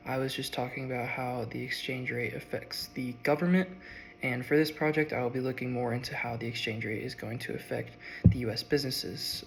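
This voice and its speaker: A young man, sick and strained, giving a halting class presentation. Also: mid-range voice, a bit bright, a little hiss or hum. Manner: halting, with frequent ums and uhs. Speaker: student.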